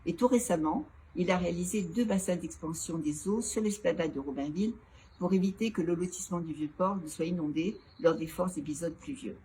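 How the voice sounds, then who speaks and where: Low-voiced woman giving formal explanations, noisy background. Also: a little fast, mellow. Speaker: local elected official. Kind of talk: prepared, formal interview answers. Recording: clearly noisy background ambience.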